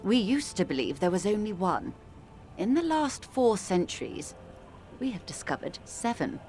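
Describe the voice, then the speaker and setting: A woman playing a worldly, composed older woman in a video game, speaking eloquently in a measured, gently condescending manner. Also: mid-range voice, big pitch swings, lightly acted. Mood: grave, measured. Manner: measured, eloquent, calls the listener dear and darling. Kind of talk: dramatic video game dialogue. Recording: music playing under the voice.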